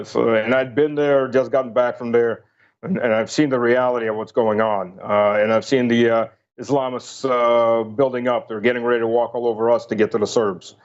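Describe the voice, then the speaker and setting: A middle-aged or older man who grew up speaking Russian, recalling and analysing military experience in a halting, informal way. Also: mid-range voice, highs cut off. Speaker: interview guest, military veteran. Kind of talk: conversational personal recollection and analysis.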